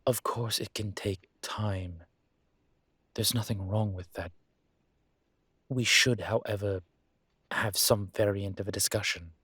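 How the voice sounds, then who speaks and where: Man acting as a deep, husky, courteous ancient incubus. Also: fairly even intonation, fairly bright tone, dry recording, exaggerated voice. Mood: earnest, careful. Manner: measured, hesitant, formal wording. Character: ancient male incubus teacher, courteous, gently earnest, teasing. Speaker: voice actor in audio roleplay. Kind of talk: intimate one-sided audio roleplay acting.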